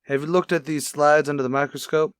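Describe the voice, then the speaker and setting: Man acting as detective partner, calm, understated, sober, dry studio sound, radio crime drama. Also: brisk, smooth, dry recording, lightly acted. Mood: low-key. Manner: low-key, subdued, matter-of-fact.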